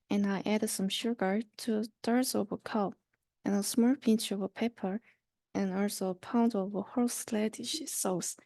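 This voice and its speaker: A woman's voice: mid-range voice, warm tone, no echo. A young woman, a university student, reading a children's rhyming story aloud. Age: young adult.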